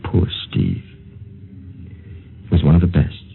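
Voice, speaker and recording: An actor playing a calm, skeptical military doctor with a gravelly voice and matter-of-fact manner in a 1950s radio drama. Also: male voice, very deep voice, unhurried, exaggerated voice. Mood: musing. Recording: muffled, slightly noisy vintage radio recording.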